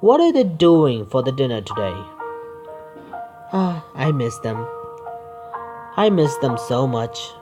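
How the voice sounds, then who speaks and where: A man doing a game playthrough, reading the narration aloud haltingly and adding his own asides. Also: mid-range voice, very animated, a little mellow. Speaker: gaming video creator. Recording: music behind the voice.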